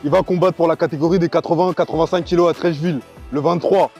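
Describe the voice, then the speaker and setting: A man's voice: fairly high pitch, steady tone, fast, over music. A man talking up a fighter to camera.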